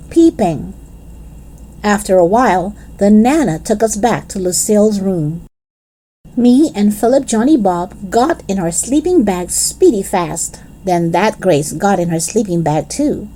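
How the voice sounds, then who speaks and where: A woman reading a children's storybook aloud in expressive scripted narration. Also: medium pitch, a little slow, a little hiss or hum, rough recording. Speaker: children's book reader.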